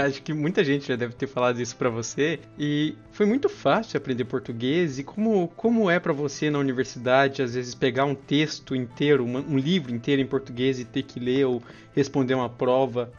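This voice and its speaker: A man's voice: mid-range voice, moderate pace, soft background music. A man asking questions casually as an interviewer, heard on a lo-fi recording. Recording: dull lo-fi sound.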